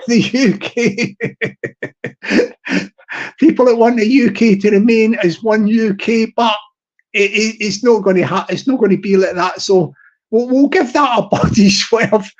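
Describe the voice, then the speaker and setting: A man's voice: very high voice, highs cut off. A man chatting casually on his live stream, rambling and chuckling, repeating words and restarting his sentences as he greets viewers. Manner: hearty, upbeat.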